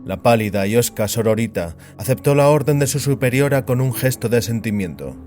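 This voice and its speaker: Un hombre que narra un relato dramático con guion, como narrador de audioficción. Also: male voice, medium pitch, animated, a little fast, darkish tone, faint music behind. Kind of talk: scripted dramatic story narration.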